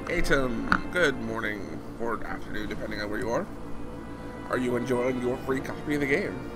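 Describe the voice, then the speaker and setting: A male game streamer with a hoarse, fading voice, chatting casually and answering viewers while he plays. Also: mid-range voice, a little slow. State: hoarse, losing his voice. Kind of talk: casual live-stream chat while gaming. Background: loud game music.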